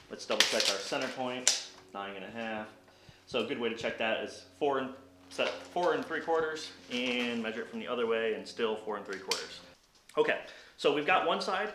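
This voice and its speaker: A man in his thirties talks through a woodworking job step by step, in an ordinary mid-range voice. His voice bounces around the hard walls of a cluttered home workshop. Tool noise and clatter sit clearly behind him, and he is picked up by a camera several metres off. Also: mid-range voice, pushed and bright, echoey room. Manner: explains steps plainly while working. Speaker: woodworking channel host. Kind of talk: hands-on workshop tutorial. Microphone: camera on a tripod a few metres away. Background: power tool and workshop noise.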